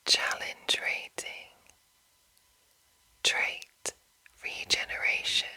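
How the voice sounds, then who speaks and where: A woman reading and commenting as an ASMR host in a breathy, unvoiced whisper, deliberate and pausing between short phrases. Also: pushed and bright, low-quality recording. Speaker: host reading a game rulebook.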